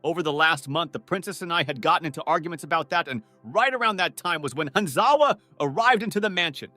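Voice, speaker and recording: Guy doing a young anime butler, bright voice that swoops around, polite n flustered, romcom dub. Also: a little high, lively intonation, quick pace, no echo, soft background music. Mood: reflective. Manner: earnest, easily flustered, polite. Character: earnest, flustered young male student butler devoted to the heiress. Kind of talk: anime-style romantic comedy dub with first-person narration.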